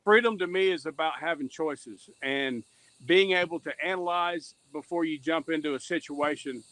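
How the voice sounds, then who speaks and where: A man's voice: on the high side, moderate pace, a bit bright, no room sound, low-quality recording. A man speaking as a podcast guest, explaining his views earnestly and reflectively, repeating phrases for emphasis. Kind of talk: reflective conversational interview talk.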